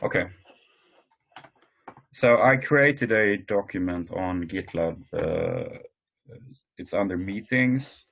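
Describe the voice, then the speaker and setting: A man presenting informally in an online work meeting, speaking hesitantly with frequent pauses. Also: deep voice, creaky, fairly bright tone. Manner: hesitant, halting delivery with many pauses. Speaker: meeting host presenting tools. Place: online conference call.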